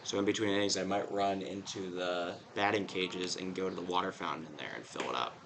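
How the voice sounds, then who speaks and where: A man calling a live baseball game play-by-play, his voice hoarse, talking chattily with casual asides between plays. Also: sits low, fairly even intonation, a little fast, a crowd in the background. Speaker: play-by-play announcer.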